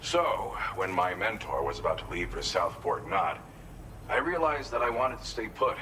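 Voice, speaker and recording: A man playing an earnest, grateful researcher in a video game cutscene, explaining things in a measured, conscientious way. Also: low-pitched, expressive, background noise. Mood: explanatory, keen. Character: earnest, grateful male researcher and weapons inventor briefing a courier.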